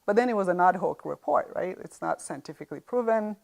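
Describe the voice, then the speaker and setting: A middle-aged woman from Uganda with an East African accent, recounting personal experience conversationally as an interview guest, with halting pauses. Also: on the low side, animated, gravelly, a little mellow.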